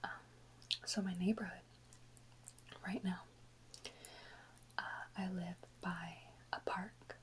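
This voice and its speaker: Woman doing asmr, soft whispery chatting right up on the mic, rambly, bit of background noise. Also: fairly low pitch, steady tone, poor audio. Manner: informal, rambling, unhurried pauses. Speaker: ASMR video creator. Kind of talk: whispered ASMR casual chat. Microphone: close-up ASMR microphone.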